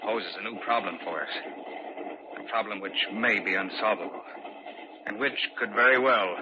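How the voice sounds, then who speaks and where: An actor playing a grave, worried man full of foreboding, with ominous, deliberate phrasing, in an old-time radio drama. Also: on the low side, natural voice. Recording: dull, noisy old radio recording.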